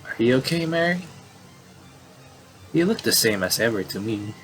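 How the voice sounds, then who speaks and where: A man voicing a calm, matter-of-fact brother in a horror visual novel. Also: mid-range voice, normal speed, faint music behind. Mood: unbothered. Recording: clearly noisy home recording.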